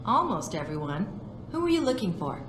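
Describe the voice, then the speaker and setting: A woman's voice: mid-range voice, big pitch swings, normal speed, close to an everyday voice. An actress playing a polite, chatty, slightly wry female office receptionist in a video game. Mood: helpful, wry. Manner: courteous, helpful, slightly wry. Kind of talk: video game cutscene acting. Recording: echoey lobby sound, clear background ambience.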